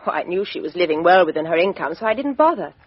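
Frank spirited young woman, crisp and emphatic, lively husky voice, dry old radio. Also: on the low side, fast, no echo. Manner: crisp, emphatic well-spoken delivery. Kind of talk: 1950s radio drama acting. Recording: dull lo-fi old radio recording.

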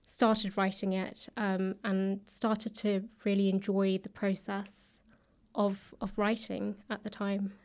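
A woman's voice: medium pitch, little pitch movement, slow pace, darkish tone. A woman chatting as an interview guest, explaining things hesitantly and rephrasing as she goes. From south London, England. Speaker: podcast guest, writer and actor. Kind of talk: casual conversational interview.

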